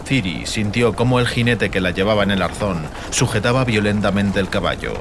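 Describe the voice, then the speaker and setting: A man reads a fantasy audiobook as narrator, with a solemn, measured and theatrical delivery. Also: on the low side, background noise. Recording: music bed under the voice. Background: music.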